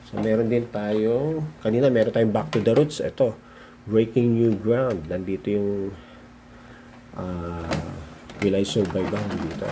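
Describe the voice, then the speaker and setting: A Filipino man doing a casual, unscripted show-and-tell as a vlogger, halting and muttering to himself as he handles things. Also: on the low side. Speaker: vlogger showing his cassette collection. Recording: noisy recording with handling clicks.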